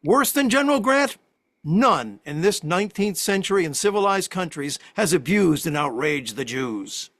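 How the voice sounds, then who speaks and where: A man acts out a combative nineteenth-century rabbi in a historical play. His voice is high for a man and very dry in sound. He declaims emphatically, with big melodic swings. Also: sing-song, moderate pace, a bit bright, no room sound, heavily acted. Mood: righteous, indignant. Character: righteous, combative 19th-century Reform rabbi and orator. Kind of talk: table reading of a historical play, oratory.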